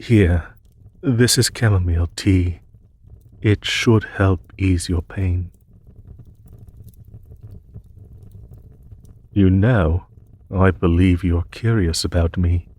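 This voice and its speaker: Deep, husky, courteous demon emperor played by a man. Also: darkish tone, exaggerated voice. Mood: soft reassurance. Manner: formal, measured, reassuring, polite phrasing. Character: courteous, solemn, gentle male demon emperor rescuing an injured human. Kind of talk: scripted audio roleplay addressed to the listener.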